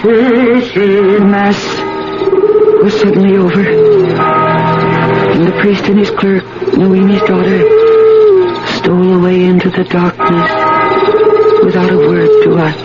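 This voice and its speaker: A haunted, lonely village woman makes her confession with intense dread and dramatic pauses. It is 1970s radio drama, in a muffled old broadcast recording with loud music behind her. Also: mid-range voice, big pitch swings. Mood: horrified.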